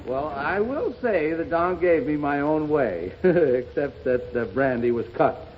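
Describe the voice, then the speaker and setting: Boastful out-of-shape man, blustering, high-ish voice, muffled 1930s radio broadcast, faint hiss. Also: lively intonation. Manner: blustering, quick punchlines. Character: boastful out-of-shape man, bragging and self-deprecating. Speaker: comic guest. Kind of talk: 1930s radio comedy sketch. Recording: muffled old radio broadcast with studio audience. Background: studio audience laughter.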